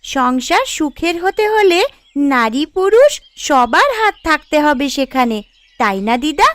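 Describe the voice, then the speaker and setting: A woman's voice: high-pitched, sing-song, unhurried, fairly bright tone, dry recording, exaggerated voice. A woman voicing a sweet, curious young schoolgirl in a cartoon, with a childlike, eager way of talking. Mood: earnest. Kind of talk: Bengali family cartoon voice acting.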